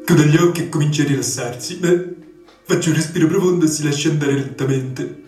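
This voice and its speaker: Actor as high-voiced, brisk male hypnotist. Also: natural voice. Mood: brisk, instructing. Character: brisk male hypnotist therapist guiding a patient. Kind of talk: theatrical radio comedy. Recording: echoey, distant, music behind.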